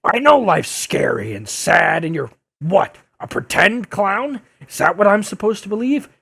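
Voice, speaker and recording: A man playing an older, embittered, grieving newspaperman in an audio drama, gravelly and husky, with halting, emphatic delivery. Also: high voice, big pitch swings, fairly bright tone, no echo, studio quality, exaggerated voice. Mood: scornful.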